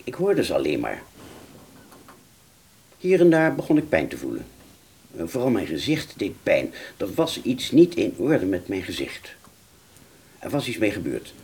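A man playing a wounded British fighter pilot, telling his story in a radio play with a husky voice and halting, stammering delivery. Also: deep voice, darkish tone, a little hiss or hum, heavily acted. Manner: halting, stammering, repeats words. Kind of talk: first-person dramatic narration in a radio play.

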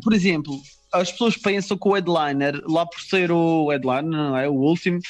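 A man's voice: a little high, moderate pace. A man from Madeira with a Madeiran Portuguese accent, a comedian chatting casually in a teasing, joking, slangy way. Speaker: stand-up comedian. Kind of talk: casual bantering chat.

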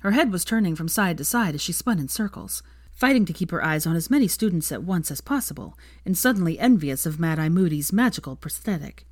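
A woman reading fan fiction aloud as expressive, scripted story narration. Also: lowish voice, fairly quick, dry recording, clean studio sound.

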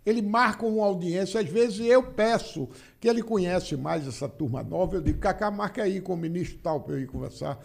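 A man's voice: high voice, a little fast, gravelly, few pauses, darkish tone. A man in his seventies, a politician guest in a studio podcast interview, telling anecdotes and acting out the dialogue in them, often repeating his phrases. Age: 70s. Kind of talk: casual interview storytelling.

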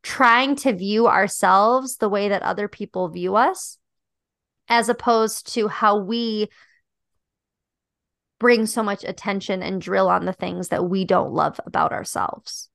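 Podcast co-host, young woman, high-pitched voice, reflective casual delivery, dry close sound. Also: slow pace, gravelly, a bit bright, dry recording. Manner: thoughtful, reflective, many "like" and "um" fillers. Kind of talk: casual chat about a dating show.

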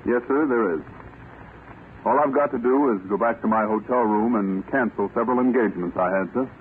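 An actor playing a dutiful, polite army lieutenant, crisp and earnest, in a 1940s radio drama. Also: male voice, medium pitch, a little fast, lightly acted. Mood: dutiful, respectful. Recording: tinny, hissy vintage radio recording.

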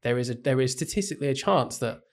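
A man co-hosting a casual podcast, laying out his reasoning in a measured way. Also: medium pitch, expressive. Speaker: podcast co-host, teacher.

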